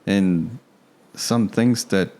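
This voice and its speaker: A man speaks as a podcast guest. His voice sits very low, and he answers in short, soft-spoken phrases with plenty of quiet agreement. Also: very slow. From Korea. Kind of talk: casual interview chat.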